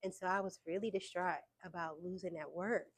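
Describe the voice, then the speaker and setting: A young adult woman speaks as a guest in a sit-down interview. Her voice is soft and sits a touch low, and she tells her story loosely, with plenty of fillers and easy laughs along the way. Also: fairly quick. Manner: relaxed, frequent fillers, laughs between points. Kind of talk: casual conversational storytelling.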